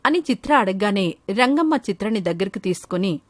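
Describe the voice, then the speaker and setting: A woman's voice: mid-range voice, lively intonation, brisk, no echo. A woman storyteller reading scripted narration for a children's moral story.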